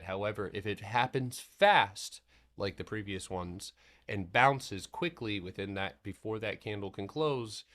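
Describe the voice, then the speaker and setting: A man hosting a casual livestream market recap. He talks in a loose, rambling way, swears casually, and slows into a steady explanatory tone when walking through charts. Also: on the low side. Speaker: stock trading streamer.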